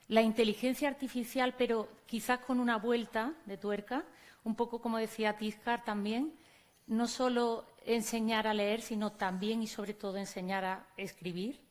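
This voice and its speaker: A woman from Córdoba with an Andalusian accent, a teacher giving a conference talk and speaking to the audience in a conversational way. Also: on the high side. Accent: Andalusian Spanish. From Córdoba, Spain. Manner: conversational, addresses audience directly. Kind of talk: conference presentation. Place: conference venue on stage.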